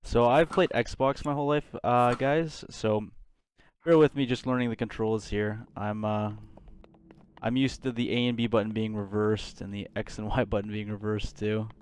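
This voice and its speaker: A man's voice: fairly low pitch, fairly even intonation, normal speed. A man giving casual live video game commentary in short, easily awed reactions. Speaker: let's play commentator. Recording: clear game ambience noise behind. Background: video game ambience.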